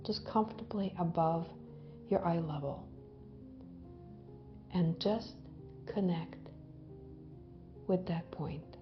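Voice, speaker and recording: Woman doing guided meditation, really slow and gentle, lowish voice that trails off soft, music behind. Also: dull audio. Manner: gentle, unhurried phrasing with long pauses. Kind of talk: guided visualization, soothing hypnotic narration. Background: background music.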